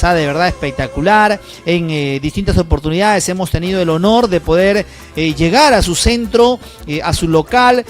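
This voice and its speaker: Male live-stream host: high voice, quick salesy patter, faint music behind. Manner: rapid, upbeat, salesy, many fillers. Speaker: livestream host. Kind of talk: live broadcast shout-outs and sponsor ad.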